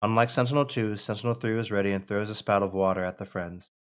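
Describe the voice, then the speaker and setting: A man's voice: fairly low pitch, fairly even intonation, fast talker, clear, resonant tone. An American man leading a script table read as writer and narrator, reading and chatting casually. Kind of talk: casual reading of screenplay action and post-read chat.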